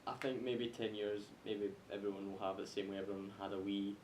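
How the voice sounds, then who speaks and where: A man's voice: level tone, quick pace, a little mellow. A young Scottish man hosting a casual group podcast chat. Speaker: podcast host. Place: echoey room. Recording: roomy echo, faint noise and rumble.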